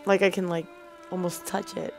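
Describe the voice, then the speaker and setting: A woman's voice: fairly low pitch, flat delivery, moderate pace, creaky. A young adult woman in a casual reaction chat as co-host. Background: game soundtrack music.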